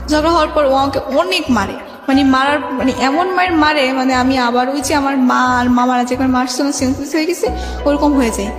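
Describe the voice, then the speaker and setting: A young woman with a Bangladeshi accent telling her life story in a radio interview, halting and conversational, acting out remembered exchanges. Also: high-pitched. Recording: echoey reverb, faint music behind. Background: faint music.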